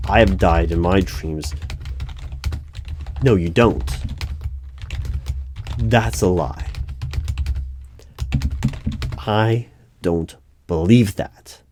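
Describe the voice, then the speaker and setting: A man performs an uneasy hiring manager from a secret bureau in an audio roleplay. His voice is low and he talks very slowly, with halting pauses and a disbelieving, guarded manner. Keyboard typing sounds behind him. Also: darkish tone, slight background noise. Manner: halting, pausing, incredulous. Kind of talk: one-sided ASMR audio roleplay interview. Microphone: close microphone with keyboard typing behind.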